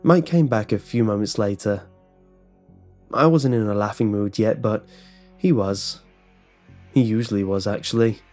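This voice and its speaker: A man's voice: on the low side, measured pace, long pauses, a little mellow, faint music behind. A man reading a scripted first-person horror story as its narrator.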